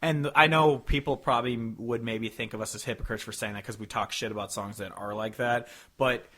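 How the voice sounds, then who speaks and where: A man's voice: medium pitch, fairly even intonation, a little fast, pushed and bright. A man co-hosting a podcast with casual music review chat, talking in an informal, joking way with some swearing. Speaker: podcast co-host.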